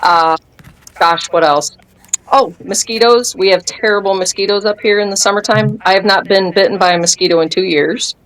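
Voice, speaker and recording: A woman's voice: medium pitch, moderate pace, poor audio. A woman in her fifties telling her story in a casual interview, acting out what people said and laughing often. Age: 50s. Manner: animated storyteller who acts out quoted dialogue and laughs often. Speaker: guest sharing a testimony.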